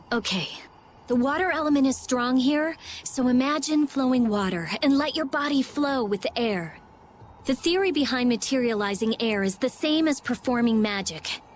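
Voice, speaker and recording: A woman voicing a brusque, sharp-tongued teenage girl mage and researcher in an anime-style game. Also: sits high, a little hiss or hum.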